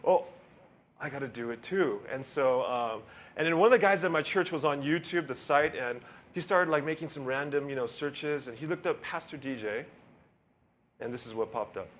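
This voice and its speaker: A man's voice: medium pitch, normal speed, a bit bright, a little hiss or hum. A male pastor giving a casual, rambling sermon, joking about himself and laughing often. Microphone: handheld or stand mic with feedback.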